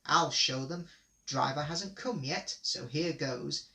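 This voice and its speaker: A man's voice: on the high side, slow pace, creaky, pushed and bright, roomy sound, far from the mic, full character voice. A man voicing a conceited, cheeky little tank engine who boasts and schemes. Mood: cocky boastful.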